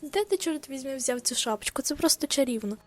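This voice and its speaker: A woman plays a gentle monster queen from a cartoon comic dub. Her voice is slightly high, soft and regal. She carries herself with dignity, music playing clearly behind her. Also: lively intonation, a little fast. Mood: amused.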